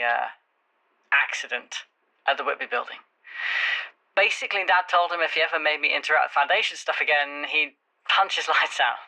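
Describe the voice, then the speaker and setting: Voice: medium pitch, sharp tone, poor audio. An actor playing an impulsive, wry young friend who rambles and stammers through a voicemail in an audio drama.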